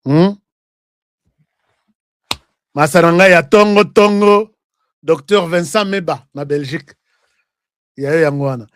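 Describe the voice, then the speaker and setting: A man's voice: on the high side, takes it slowly, long pauses, no echo, clean studio sound. A man with a Congolese accent hosting a live political commentary show, arguing with rhetorical questions and repeated phrases, switching between two languages. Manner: animated, argumentative, rhetorical questions and repetition. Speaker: online political show host. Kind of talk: live political commentary to viewers, mixing French and Lingala.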